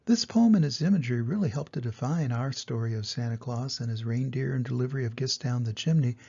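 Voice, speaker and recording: An older man reading a storybook to his grandchildren in an affectionate, expressive way, with a husky, creaky voice. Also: mid-range voice, muffled recording. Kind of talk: storybook read-aloud with personal introduction.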